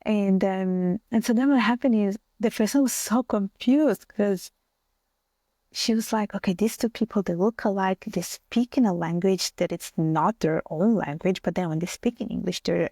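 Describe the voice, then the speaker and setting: A woman's voice: medium pitch, a little mellow, no room sound. A woman from Italy with an Italian accent, a native Bengali and Italian speaker, telling personal stories as a podcast guest. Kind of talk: conversational personal storytelling.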